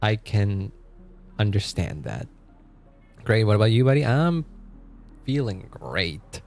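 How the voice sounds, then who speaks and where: A man's voice: on the low side, very animated, slow pace, vocal fry, a little mellow, faint music behind. A man in his twenties chatting casually on a live stream. He rambles, laughs often and trails off mid-sentence. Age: 20s. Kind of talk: casual live-stream chat, reacting to chat and game.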